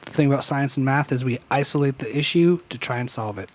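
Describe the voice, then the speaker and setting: A man's voice: mid-range voice, fast talker. A young man co-hosting a podcast in casual chat, profane and joking, his voice cracking as he argues. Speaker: podcast co-host. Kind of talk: casual chat over beers.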